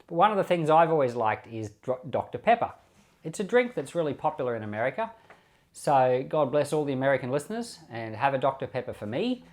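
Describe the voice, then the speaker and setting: A man's voice: mid-range voice, sing-song, brisk. An Australian man speaking as a pastor, explaining scripture in an informal, conversational preaching style, often repeating short phrases. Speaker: pastor and Bible podcast host. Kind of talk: informal Bible commentary and preaching.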